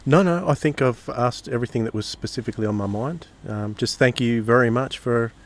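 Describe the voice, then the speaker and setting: A man's voice: medium pitch, brisk. A man co-hosting a podcast interview in a casual, chatty style. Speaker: podcast co-host.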